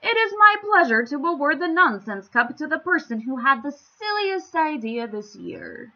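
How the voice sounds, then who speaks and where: A woman voicing a pompous storybook king who makes stately, drawn-out proclamations to a crowd in a children's read-aloud. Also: sits high, big pitch swings, sharp tone, lo-fi sound, pitched above the performer's own voice, full character voice. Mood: grandly announcing.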